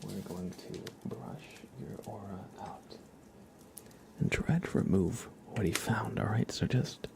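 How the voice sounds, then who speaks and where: ASMR host, male, soft breathy half-whisper, very slow gentle delivery, noticeable background noise. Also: lowish voice, lively intonation. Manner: gentle, unhurried, informal.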